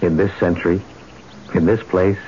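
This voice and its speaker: A man playing an uncanny, insistent stranger in a radio mystery, speaking in a formal, measured, eloquent way. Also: low voice, fairly even intonation, dark tone, lightly acted. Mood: smooth, insistent. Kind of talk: old-time radio mystery drama acting. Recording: muffled old radio recording, faint noise.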